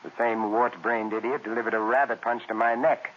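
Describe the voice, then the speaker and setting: An actor playing a suave, witty gentleman detective with a dry, sardonic manner, in 1940s radio acting. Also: mid-range voice, flat delivery, a little fast, close to an everyday voice. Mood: mocking. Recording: old radio broadcast, dull with faint hiss.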